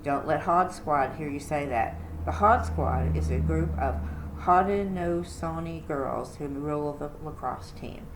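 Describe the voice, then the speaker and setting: A woman's voice: sits low, unhurried. A storybook schoolboy, put on by a woman reader, dry and skeptical with a teasing streak. He sounds like a concerned best friend. The room echoes and has faint noise. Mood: skeptical, probing. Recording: roomy echo, background noise.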